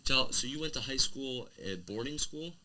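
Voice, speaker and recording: A man's voice: medium pitch, animated, normal speed, sharp tone. A teenage student, male, hosting a podcast and asking questions in a casual way. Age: teens. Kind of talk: casual interviewing.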